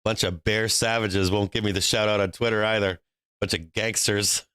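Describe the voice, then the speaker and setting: A man's voice: on the low side, fairly even intonation, normal speed, sharp tone, clean tone, no room sound. A man from Boston hosting a live stock trading stream, brash and joking, laughing often between calls. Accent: faint Boston. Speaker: stock market livestream host.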